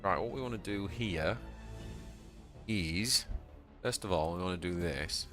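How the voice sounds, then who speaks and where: A man with a Yorkshire accent streaming a card game live, chatting to viewers and yelping with excitement at surprises. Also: unhurried, soft background music. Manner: excitable, bursts into high yelps at surprises. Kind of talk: live gameplay commentary.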